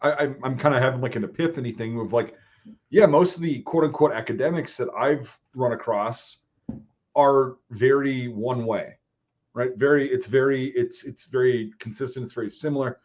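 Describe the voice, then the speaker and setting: A man's voice: medium pitch, moderate pace, darkish tone. Man, northern midwest accent, rambling, restarts, muffled lo-fi audio. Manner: rambling, restarts, thinking aloud. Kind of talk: casual conversation. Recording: dull lo-fi sound.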